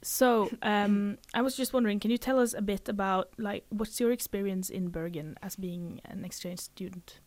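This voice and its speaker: A woman's voice: medium pitch, fairly even intonation, moderate pace, few pauses, a little mellow, no echo. Young woman hosting student radio, dry sound. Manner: chatty, filler-heavy questions. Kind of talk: casual radio interview. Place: radio studio.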